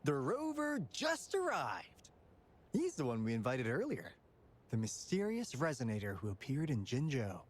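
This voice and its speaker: An actor playing a brisk, wary field agent in a video game. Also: male voice, mid-range voice, wildly swooping pitch. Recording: clear background ambience under game dialogue.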